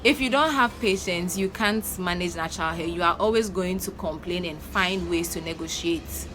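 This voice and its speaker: Ghanaian woman, young adult, lowish voice, earnest coaching tone, music under the voice. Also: moderate pace. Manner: earnest, coaching, repeats her points. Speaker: natural hair vlogger. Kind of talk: casual advice vlog. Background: background music.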